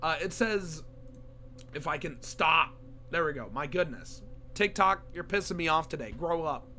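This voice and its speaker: Hoarse young man with a cold. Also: fairly high pitch, lively intonation, moderate pace, pushed and bright, a little hiss or hum. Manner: chatty, swears when surprised. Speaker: reaction video host. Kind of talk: casual reaction commentary.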